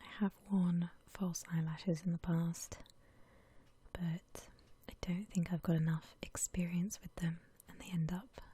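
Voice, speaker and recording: A woman whispering softly and breathily in a gentle, unhurried ASMR voice-over, chatting casually. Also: on the low side, steady tone, slight background noise. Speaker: ASMR video creator.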